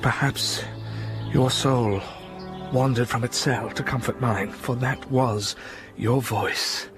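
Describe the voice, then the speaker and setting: An actor playing a blind, scarred older gentleman in a radio drama, speaking with intense, impassioned feeling. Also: mid-range voice, fairly even intonation, slow, over music. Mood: brooding.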